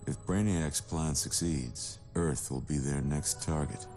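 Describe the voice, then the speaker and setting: A man playing a grim superhero strategist in a video game, gravelly, terse and authoritative. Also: a bass voice, a little slow, a little mellow, soft background music. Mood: grave, analytical.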